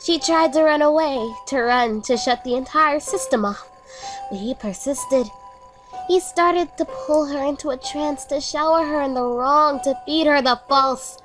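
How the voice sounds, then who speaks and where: A woman's voice: high-pitched, a little slow, voice pushed up, low-quality recording. A woman playing a sinister younger sister in a horror story, delivering an ominous, scornful, theatrical monologue. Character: sinister younger sister coldly recounting her sibling's doom. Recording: music under the voice. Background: music.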